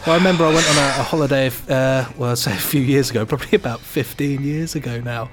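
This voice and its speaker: An English man co-hosts with casual, jokey banter. His voice sits at a medium pitch, with faint music playing behind it. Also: quick pace. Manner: jokey, chatty. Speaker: podcast co-host.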